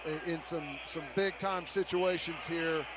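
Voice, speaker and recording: High-voiced American color commentator, noisy stadium. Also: male voice, fairly even intonation, a little slow. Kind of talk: football game analysis. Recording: very noisy stadium radio broadcast. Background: loud stadium ambience.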